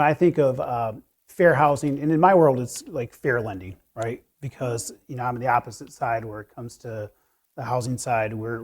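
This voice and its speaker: A man's voice: medium pitch, darkish tone. A man in his fifties, a mortgage lender on a panel, sharing personal stories in an earnest, reflective way with lots of "you know" fillers. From USA.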